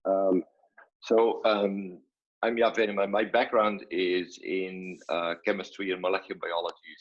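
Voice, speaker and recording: Dutch man in his fifties, non-native English, very low husky voice, measured with fillers, dull video-call audio. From Netherlands. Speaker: guest scientist.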